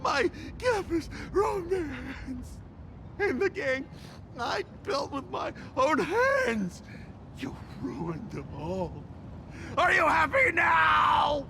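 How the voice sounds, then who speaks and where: Melodramatic, high, gravelly gang boss. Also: sing-song, drawn-out words, noisy background, exaggerated voice. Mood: anguished, shouting. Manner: bombastic, theatrical. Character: hot-headed, melodramatic campus gang boss. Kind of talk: video game dub acting. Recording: loud music underneath. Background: loud game music.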